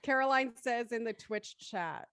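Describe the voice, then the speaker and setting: A woman's voice: fairly high pitch, measured pace, a crowd in the background. A woman hosting a casual group discussion, talking informally as moderator. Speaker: host of a cast post-show discussion.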